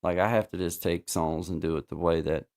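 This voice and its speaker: A man with a very low, gravelly voice hosts a relaxed livestream chat. He rambles, repeats words and fills pauses with "uh" as he talks to viewers. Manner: rambling, repeats himself, many fillers. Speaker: livestream host.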